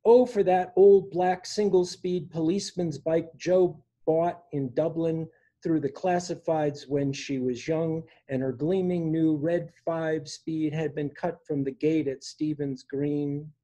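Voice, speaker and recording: A man in his sixties reading poetry aloud in a measured way, pausing between phrases, recorded at home on a video call. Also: on the high side, fairly even intonation, dark tone. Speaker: poet reading own work. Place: indoor room at home. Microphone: device mic on a video call.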